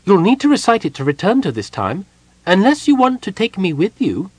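A man reading an audiobook, voicing an excitable young male kender with a high, chirpy, quick voice. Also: slightly rough, dry recording, exaggerated voice. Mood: eager.

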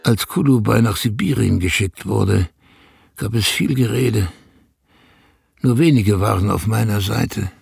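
A very husky, heavily creaking old herdsman recalls his life in a radio play. He is embittered but restrained, telling it reflectively and close in a dry space. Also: lowish voice, measured pace, a little mellow, no room sound, full character voice. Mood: bitter, reflective. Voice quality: husky, gravelly. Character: Tanabai, embittered old Kyrgyz herdsman looking back on youth. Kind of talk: radio drama monologue.

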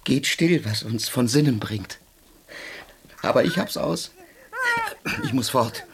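An actor in a radio drama playing a haunted, agitated poor soldier and father who broods in hushed, disjointed phrases. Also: mid-range voice, lively intonation, takes it slowly, noisy background. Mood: haunted, urgent.

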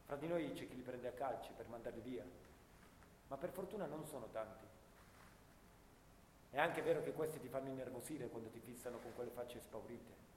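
A male actor plays a young soldier of the First World War, haunted and anguished. The voice rings with long reverb in a roomy space, over clear noise. He tells his story in a dramatic radio-theatre monologue, moving between hushed bitterness and intensity. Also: medium pitch, moderate pace, long pauses, close to an everyday voice. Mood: weary, pitying.

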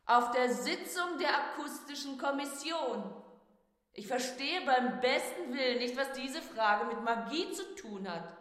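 A haughty, thundering society wife, high and piercing. She speaks slowly and grandly in a radio play, echoing heavily through a hall. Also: animated, fairly bright tone. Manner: snooty, thundering. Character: haughty, imposing outraged wife of an official. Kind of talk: radio drama acting. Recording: echoey theatre hall, distant reverb.

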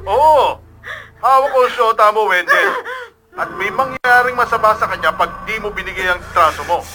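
A man's voice: high voice, sing-song, a little slow, pushed and bright, full character voice, low-quality recording. A male actor playing a menacing crime boss in a Filipino radio drama, sly and threatening, with a Filipino accent. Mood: gloating.

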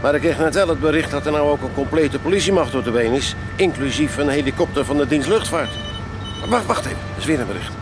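A man's voice: fairly high pitch, fast talker, background noise, close to an everyday voice. A man playing a hearty, commanding police sergeant in a radio play, speaking to his team with jovial authority. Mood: alert, concerned. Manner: jovial authority, addresses his companions as lads.

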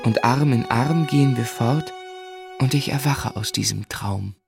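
A man's voice: mid-range voice, unhurried, vocal fry, music in the background. An actor playing a tender, dreamy young man in love who narrates his life lyrically and reflectively in a literary radio play. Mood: dreamy, moved. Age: young adult.

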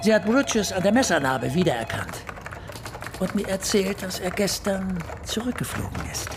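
An actress playing a breezy, teasing police detective in a radio drama. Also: female voice, mid-range voice, big pitch swings, moderate pace, music in the background.

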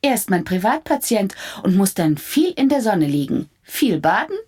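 A woman playing a brisk, caring, cheerful doctor in a radio play. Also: medium pitch, big pitch swings, darkish tone. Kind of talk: German radio play acting.

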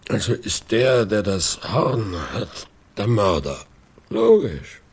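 A man's voice: fairly low pitch, huge pitch swings, slow, vocal fry, breathy edge, full character voice. An actor in a radio play playing a drunken, bitter ex-policeman, coarse and self-mocking, drawling with sudden outbursts. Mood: boastful, bitter. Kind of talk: German radio play acting.

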